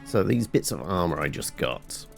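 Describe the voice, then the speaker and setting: A man's voice: low-pitched, big pitch swings, moderate pace, gravelly. A man giving casual let's-play commentary in a wry, informal way with muttered asides. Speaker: gameplay commentator. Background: video game music.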